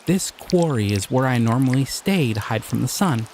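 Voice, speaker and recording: A young man voicing a shy, excitable male slime creature in an audio roleplay, talking in an earnest, flustered, giggly way. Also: medium pitch, moderate pace, a little hiss or hum, close to an everyday voice. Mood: excited. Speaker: voice actor.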